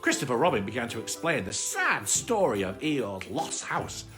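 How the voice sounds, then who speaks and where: A storyteller reading a children's bedtime story in an animated narrating style. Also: medium pitch, normal speed, music in the background.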